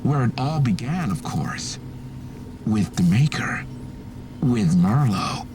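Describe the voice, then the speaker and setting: A man's voice: mid-range voice, animated, darkish tone, slight background noise. A man playing a fanatical cultist in a video game, preaching with reverent, serene menace. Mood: sly calm. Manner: slow, reverent, preaching delivery. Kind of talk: dramatic video game acting.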